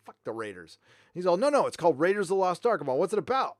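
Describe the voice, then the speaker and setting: A middle-aged man from Southern California hosting a livestream, chatting casually and reading donations, loud and animated, swinging from mumbles to shouts. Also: high-pitched, racing, no room sound, clean studio sound. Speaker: livestream host.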